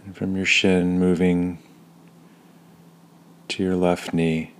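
Male meditation guide: low, even, unvarying delivery, calm and soothing, with unhurried pauses between phrases. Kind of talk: guided body scan meditation.

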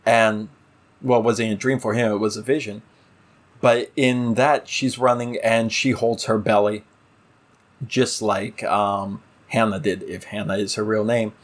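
A man co-hosting a casual TV review discussion, halting and thinking aloud as he talks. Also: lowish voice, little pitch movement. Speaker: podcast co-host.

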